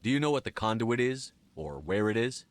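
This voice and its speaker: A resolute male commander in a game drama. His voice is on the low side and moves quickly. He is blunt, matter-of-fact and wastes no words. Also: sing-song, no room sound. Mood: probing, blunt. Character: resolute, no-nonsense male human military commander. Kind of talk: video game dramatic acting.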